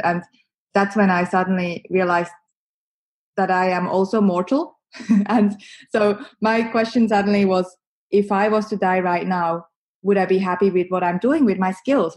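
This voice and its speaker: Swiss-accented female guest, chatty and animated, mid pitch. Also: moderate pace. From Switzerland. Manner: animated, chatty, laughs often. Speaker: podcast guest, marketing agency founder. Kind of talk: conversational interview.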